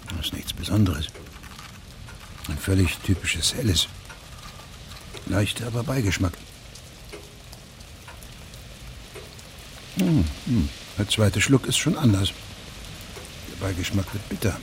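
An actor playing a proud, sceptical middle-aged judge in a German radio play, with a terse, dry, questioning manner. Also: male voice, low-pitched, animated, a little slow, long pauses, background noise. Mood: curious, bemused.

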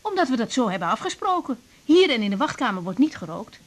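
A woman's voice: on the high side, very animated, fast. An actress playing a young woman doctor in a radio drama, firm, practical and reassuring.

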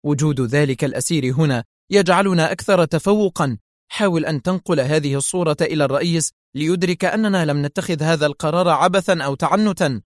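A man's voice: medium pitch, a little fast, dry recording, clean studio sound, natural voice. A man voicing an insistent, suspicious general in a story, emphatic and urgent. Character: excitable, insistent male general, suspicious and forceful. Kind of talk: dramatised audio story dialogue.

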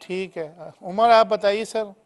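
A middle-aged man hosting a call-in health show as a hakeem, asking callers quick routine questions. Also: high-pitched. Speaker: hakeem host answering callers.

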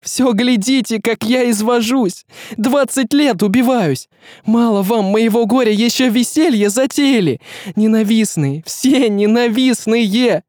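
A man's voice: very high-pitched, unhurried, a little mellow, dry recording, studio quality, pitched above the performer's own voice. A man voicing a bitter, half-mad, grieving tsaritsa in a storybook, hissing and shrieking. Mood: hysterical, bitter.

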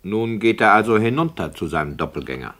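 A man's voice: lowish voice, very animated, a little fast, fairly bright tone. A man playing a skeptical radio play director, formal, critical and precise, in scripted 1960s radio dialogue.

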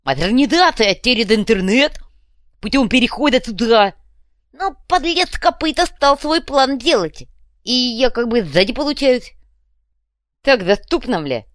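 Woman playing a loud, pompous male savage chieftain. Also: on the high side, animated, a little slow, dry recording, clean studio sound, voice pushed up. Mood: self-important. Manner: crude slangy speech, pompous and indignant. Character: loud, self-important, dim one-eyed male savage gang leader. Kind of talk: audiobook character voice.

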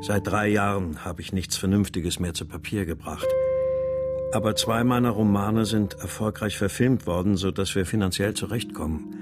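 An actor playing a troubled, weary writer in a radio drama, speaking in a sober, measured, introspective way. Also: male voice, fairly low pitch, loud music behind the voice, close to an everyday voice. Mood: brooding, weary. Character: troubled male writer recounting his daughter's disappearance, brooding, weary. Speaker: narrator and lead character. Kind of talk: radio drama, introspective first-person narration and dialogue.

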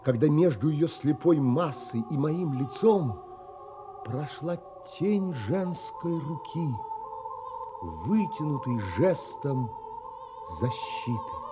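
A man's voice: fairly high pitch, animated, slow pace, over music. An actor playing an earnest, troubled adventurer who tells his own story in theatrical, emotionally involved audio drama narration. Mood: tense, urgent. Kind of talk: dramatic first-person literary narration.

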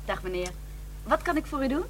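An actress playing a cheerful, helpful receptionist in a radio drama. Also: female voice, sits high, expressive, fairly quick, a bit bright, slight background noise. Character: chirpy, helpful young woman receptionist. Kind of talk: 1990s radio drama acting.

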